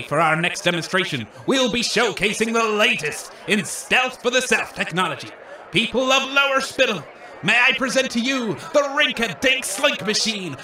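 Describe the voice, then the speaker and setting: A man's voice: very high-pitched, sharp tone, heavily acted. A man acting as a brash wasteland weapons salesman, theatrical and grandiose, in a comedy radio drama. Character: brash male wasteland arms salesman showman. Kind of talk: comedy radio drama, stage announcer patter. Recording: echoey, slightly distant from the mic.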